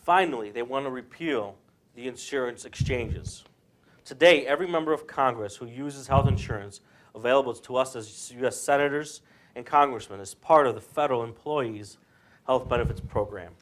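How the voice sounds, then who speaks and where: A man's voice: mid-range voice, moderate pace, natural voice. A young man playing an earnest senator, giving an emphatic, explanatory debate speech in readers theater. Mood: earnest, arguing.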